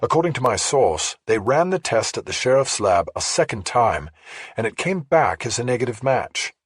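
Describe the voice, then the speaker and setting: A man reads as a female investigative journalist in a thriller audiobook. She is measured and matter-of-fact, explaining with care in a very husky, dryly recorded voice. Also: mid-range voice, big pitch swings, dry recording, clean studio sound, voice pushed up. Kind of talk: audiobook character dialogue.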